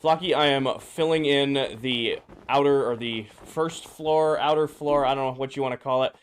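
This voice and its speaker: A man streaming a game, his voice slightly hoarse and drowsy, casually greeting viewers and answering chat questions. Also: medium pitch, moderate pace. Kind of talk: casual live-stream chat while playing.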